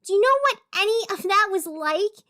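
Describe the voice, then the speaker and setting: An actress playing a wry, wounded young radio host in a scripted audio drama, sardonic and theatrical with deliberate pauses. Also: female voice, very high-pitched, animated, bright, forceful tone, full character voice. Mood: angry, hurt. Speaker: fictional radio show host.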